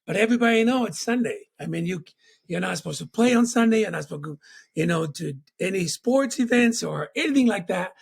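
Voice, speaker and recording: A middle-aged man from Tonga telling his life story as an interview guest, reflective and warm, with a constant "you know.". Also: high voice, lively intonation, brisk, creaky, breathy edge.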